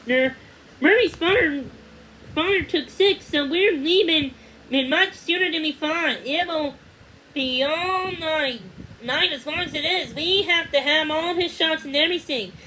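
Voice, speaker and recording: A flustered country lady acted out by a woman reading a storybook chatters apologetically in a high, lifted voice. Her words tumble over one another as if she is in a great rush, with noticeable noise in the recording. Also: unhurried, bright, forceful tone, poor audio. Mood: flustered, hurried.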